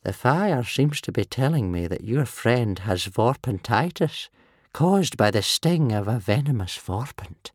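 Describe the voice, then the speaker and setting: A man voicing a gloomy, ancient village healer and soothsayer in a storybook, with a wheezy croak and a slow, muttering manner. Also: fairly high pitch, very animated, a little mellow, dry recording, clean studio sound, pitched above the performer's own voice, exaggerated voice. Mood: muttering, grave. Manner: slow, muttering, tut-tutting.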